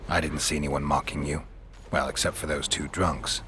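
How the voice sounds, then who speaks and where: An actor playing a stern, world-weary monster hunter in a video game, speaking in a terse deadpan. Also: male voice, rumbling low, normal speed, soft background music. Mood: matter-of-fact.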